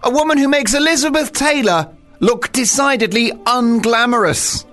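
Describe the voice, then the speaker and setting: High-voiced camp radio host. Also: male voice, moderate pace, fairly bright tone, faint music behind. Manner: camp, teasing, theatrical introductions. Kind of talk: bantering radio talk show. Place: radio studio.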